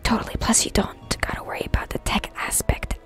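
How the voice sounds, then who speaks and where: A woman whispering breathily and chattily to viewers on a casual ASMR gaming live stream. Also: a little fast. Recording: music behind the whispering. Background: clear background music.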